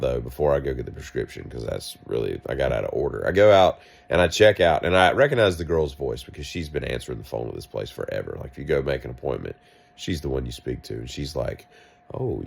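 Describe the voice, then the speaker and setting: A man with a deep voice, sick and coughing, telling a rambling, wry story in a vlog. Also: sing-song, brisk, creaky, breathy edge. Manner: wry, self-mocking, meandering asides. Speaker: vlogger.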